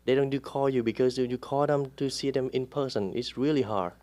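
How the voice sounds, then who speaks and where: A man, a real estate agent speaking as a guest in a casual interview, who talks haltingly and often pauses to search for words. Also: medium pitch, a little mellow.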